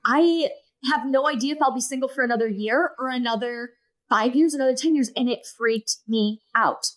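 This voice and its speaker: A woman in her thirties speaking as a podcast guest, her voice high and bright. She tells her story earnestly and with animation, often quoting her own past thoughts aloud. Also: normal speed. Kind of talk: personal storytelling in conversation.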